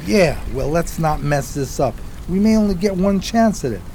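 An actor playing a streetwise, loyal homeless pal in a 1920s New York radio play, with slangy, folksy, casual speech. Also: male voice, fairly high pitch, expressive, moderate pace, dark tone, a little hiss or hum. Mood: sly, eager. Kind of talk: radio play acting and storytelling.

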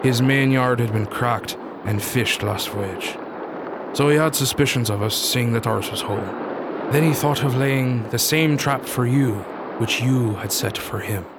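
Man playing a sombre sailor, mid-pitched voice. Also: heavy background noise, lightly acted. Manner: formal, archaic, measured phrasing. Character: sombre, plain-spoken male sailor turned pirate. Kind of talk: period seafaring radio drama acting.

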